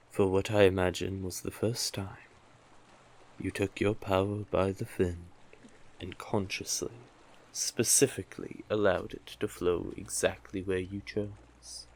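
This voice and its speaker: Man playing a slow, low-voiced doting sea witch. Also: level tone, vocal fry, a little mellow, full character voice, low-quality recording. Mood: soothing, praising. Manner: coaxing, stately, elaborately worded. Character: cursed male tentacled merfolk sea witch, doting, commanding. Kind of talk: scripted audio roleplay addressed to the listener. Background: ambient sound effects.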